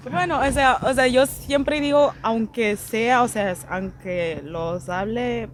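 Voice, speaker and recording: A woman's voice: a little high, takes it slowly. Chatty German woman speaking with fillers. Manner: chatty, laughs often, many fillers. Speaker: interview guest. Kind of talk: casual interview conversation. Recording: faint background noise.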